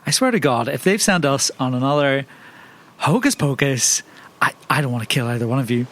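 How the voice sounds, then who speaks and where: A man plays a character in an improvised tabletop game. His voice is middling in pitch, and he delivers his dialogue with dramatic earnestness. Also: a little fast, fairly bright tone.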